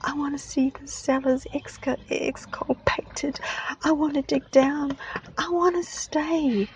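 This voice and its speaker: Woman reading as a whiny spoiled boy, very high, pleading storybook voice. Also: steady tone, slow, distant mic, a little hiss or hum. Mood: whining. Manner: high-pitched pleading howl.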